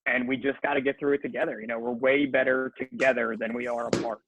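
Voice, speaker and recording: A man's voice: mid-range voice, level tone, fast, fairly bright tone. A man chatting casually and emphatically as a guest on a panel podcast, in informal language. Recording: noisy live call, clicks.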